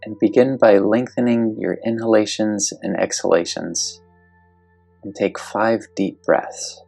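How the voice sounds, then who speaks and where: A meditation guide speaking slowly and gently, giving calm step-by-step instructions in a guided meditation. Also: low voice, gravelly, slightly rough, faint music behind.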